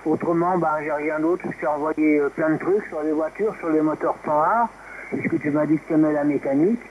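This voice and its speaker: A man's voice: on the high side, fairly even intonation, brisk. A man chatting casually over amateur radio in a familiar, rambling way with frequent tag words. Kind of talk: casual radio chat, reminiscing. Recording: dull, noisy shortwave radio reception.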